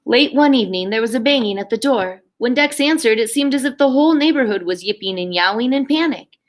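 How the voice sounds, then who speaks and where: Woman, schoolteacher, high voice, expressive story reading, simple encouraging talk to children. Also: a little fast. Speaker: primary school teacher. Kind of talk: expressive read-aloud and talk to young children.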